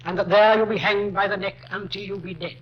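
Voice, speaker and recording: An actor playing a grave Victorian judge in a radio drama, speaking solemnly and formally. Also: male voice, sits high, steady tone, fairly quick, close to an everyday voice. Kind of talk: 1950s radio crime drama. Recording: dull, noisy old radio recording.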